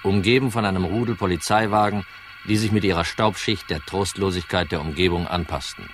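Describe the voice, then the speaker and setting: An actor playing a gruff, weary hard-boiled insurance detective in a crime radio drama, dry and laconic. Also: male voice, deep voice, fairly even intonation, fairly quick, soft background music, lightly acted. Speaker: narrator and lead actor.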